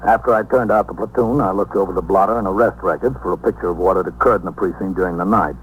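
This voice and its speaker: An actor playing a sober American police captain, narrating a case in an official, measured 1950s radio style. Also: male voice, sits low, lo-fi sound, lightly acted. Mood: matter-of-fact. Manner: matter-of-fact, official, measured. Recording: noisy 1950s radio recording.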